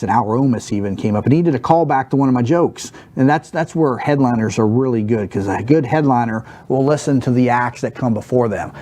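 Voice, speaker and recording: A man in his thirties in a studio, teaching stand-up comedy basics in a monotone, rambling way with many fillers and restarts. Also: medium pitch. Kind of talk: unscripted talk to camera. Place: studio set with a wooden backdrop, seated at a desk.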